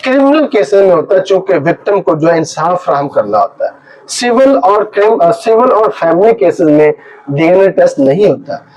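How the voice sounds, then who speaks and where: A man's voice: high voice, expressive, normal speed, a little mellow. A man with a Pakistani accent giving an informal legal lecture as an advocate, explaining and emphatically repeating his key points. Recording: dull, lo-fi muffled audio.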